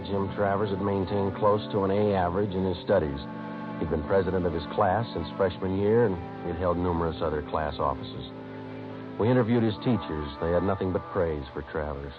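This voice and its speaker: A terse, businesslike police sergeant, played by a male actor in a vintage radio crime drama. The voice is very low and deadpan, every phrase clipped and factual. It comes through a muffled old recording with music behind it. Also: lightly acted. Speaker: actor playing the narrator and lead detective. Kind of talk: 1950s radio police drama, clipped matter-of-fact narration.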